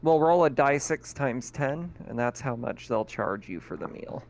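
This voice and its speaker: Sex: man. Role: game master. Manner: easygoing, chuckles often. Pitch: mid. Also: expressive, measured pace, slight background noise. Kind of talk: running a tabletop game.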